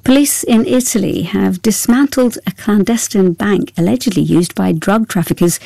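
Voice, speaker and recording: A woman reads the world news in a calm, formal, measured delivery. Her voice is mellow, and the recording is dry and close. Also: mid-range voice, vocal fry, no echo. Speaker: newsreader. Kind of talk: scripted news bulletin.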